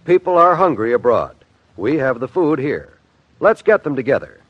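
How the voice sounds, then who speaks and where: Animated vintage male radio announcer. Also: mid-range voice, moderate pace, no echo. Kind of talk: scripted 1950s radio public service appeal. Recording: dry, dull vintage radio recording.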